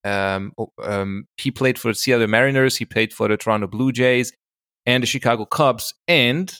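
A man's voice: mid-range voice, moderate pace, a bit bright, clean tone, dry recording, clean studio sound. A man speaking as a podcast co-host, telling stories in a casual, conversational way.

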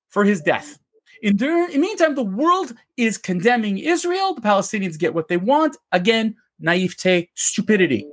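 Emphatic male co-host with lively pitch. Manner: emphatic, restarts often, swings in pitch. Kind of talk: opinion podcast rant. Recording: live online stream.

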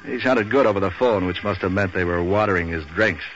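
An actor playing a tough, cynical, street-wise man for hire in a 1940s radio detective show, with an American accent and clipped, deadpan wisecracking delivery. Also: sits low, fairly quick. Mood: sardonic narration. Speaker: lead character and narrator. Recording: dull, noisy old radio recording.

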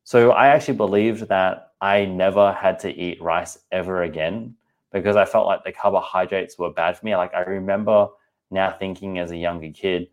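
Australian man, 30s, deep voice; chatty, candid podcast host talking straight to listeners. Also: fairly even intonation, normal speed. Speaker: podcast host and personal trainer. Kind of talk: casual podcast monologue.

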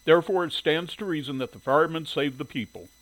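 A man's voice: a little high, fast talker, fairly bright tone, no room sound, lightly acted, rough recording. A man voicing a curt, dismissive male newspaper editor, firm and clipped, in an audiobook. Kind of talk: audiobook character dialogue.

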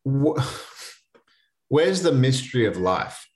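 A man's voice: mid-range voice, very animated, slow, darkish tone. A man in his late twenties hosting a solo motivational podcast, talking in a casual, earnest way. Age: 20s. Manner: casual, earnest, conversational with restarts. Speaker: podcast host.

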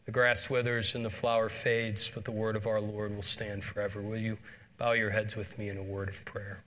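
A man preaching a sermon and reading scripture, hoarse from a cold, earnest and measured with frequent fillers. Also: lowish voice, little pitch movement, fairly bright tone. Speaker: pastor.

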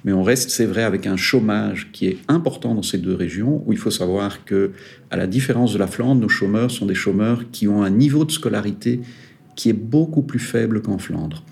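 A man, a regional director of an employers' federation, giving measured interview answers with some hesitant fillers. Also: fairly low pitch, gravelly, darkish tone. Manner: measured, hesitant fillers, stressing key points.

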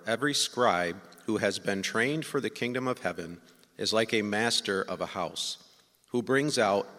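A man reads the Gospel formally at a church service, taking his time in a fairly low voice. His words ring out with long reverb in a large church. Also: a bit bright. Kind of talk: formal liturgical scripture reading.

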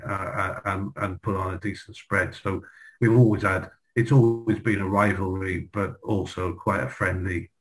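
A man, reminiscing in a halting way, often repeating words. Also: low-pitched, fairly bright tone, rough recording. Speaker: guest, former pupil. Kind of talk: reminiscing in a group video chat.

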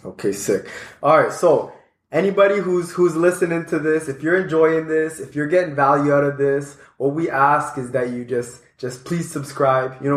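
A man's voice: fairly high pitch, roomy sound. A man hosting a casual podcast interview, chatty and upbeat with slangy asides. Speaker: podcast host.

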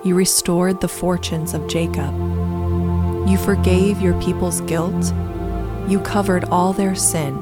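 A woman reading scripture aloud in a measured, solemn manner, with instrumental music behind her. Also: lowish voice, steady tone. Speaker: scripture reader. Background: new-age instrumental music.

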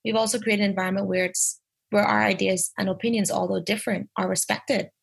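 Young Bahamian woman teacher, lively and emphatic, gravelly husky voice. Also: medium pitch, brisk. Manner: lively, emphatic, restarts phrases. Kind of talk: interview answers.

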